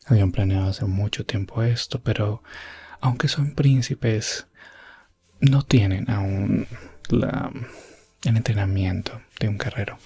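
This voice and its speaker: Man as a breathy, whispering elf prince storyteller. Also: lowish voice, exaggerated voice. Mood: calm, reflective. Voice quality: breathy, hushed. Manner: soft-spoken, often whispering, unhurried dramatic pauses. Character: elf prince adventurer recounting his rescue quest, gentle and solemn. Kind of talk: ASMR fantasy roleplay storytelling.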